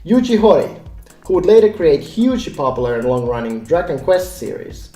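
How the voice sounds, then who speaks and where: A man's voice: a little high, big pitch swings, a little fast, dark tone, roomy sound, soft background music. A man hosting a scripted explainer vlog, addressing viewers informally as he explains things step by step. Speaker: game developer and vlog host.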